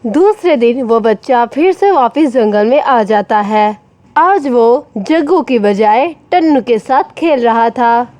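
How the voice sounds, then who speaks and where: A woman's voice: a little high, expressive, slow, darkish tone. A woman narrating a scripted children's story. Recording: faint rain and background noise.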